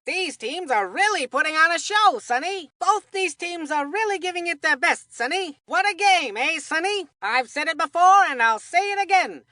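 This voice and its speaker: Cartoon baseball commentator, high voice, sharp bright tone, folksy, excitable, colloquial, video game sidekick. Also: female voice, no echo, clean studio sound, full character voice.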